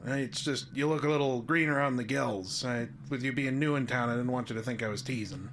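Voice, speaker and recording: A man's voice: mid-range voice, brisk, noisy background, close to an everyday voice. An actor playing a wry, neighborly small-town handyman with a folksy, easygoing manner in an audio drama. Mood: friendly, folksy. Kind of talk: naturalistic radio drama acting.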